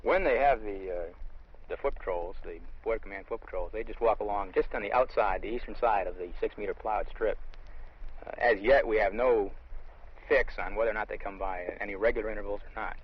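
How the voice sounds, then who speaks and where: Low, husky, quick-talking American officer, dull recording. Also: male voice. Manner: matter-of-fact, explanatory. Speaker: army lieutenant being interviewed. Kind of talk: explanatory on-location interview. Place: outdoors in the field. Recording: dull lo-fi vintage field recording.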